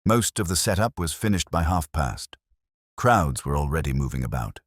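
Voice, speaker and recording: A man voicing a calm, quiet, shrewd police inspector with dry wit in a slow, understated detective audiobook manner. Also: a bass voice, dry recording, exaggerated voice. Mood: calm, deliberate.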